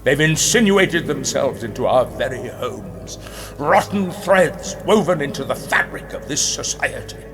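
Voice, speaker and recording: An actor playing a grandiose, menacing rabbit ruler, orating theatrically with emphatic, dramatic pauses. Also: male voice, mid-range voice, sing-song. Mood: menacing, impassioned. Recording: long hall reverb, music underneath.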